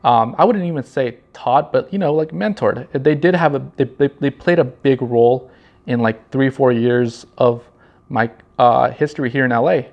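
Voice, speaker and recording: A man from Los Angeles County talks casually and reflectively about himself, halting often and repeating his phrases. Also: medium pitch, vocal fry. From Los Angeles County, California. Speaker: vlog host and marketing director. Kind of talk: casual personal monologue, storytelling. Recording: slightly dull, lo-fi sound.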